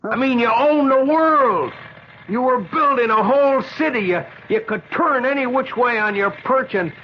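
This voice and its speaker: A man's voice: extremely high pitch, moderate pace. An actor playing a bitter, injured working man, brooding and stammering, in a 1970s radio drama. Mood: wistful, fervent. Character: bitter, restless injured male ex-riveter husband. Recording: dull, hissy old radio recording.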